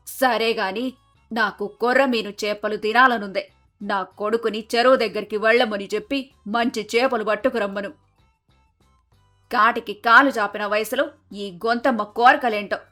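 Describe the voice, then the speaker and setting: Woman playing quarrelsome village in-law, bright forceful high voice, scolding, sarcastic, moral-story acting, music behind. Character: sharp-tongued bickering mother-in-law and daughter-in-law in village household.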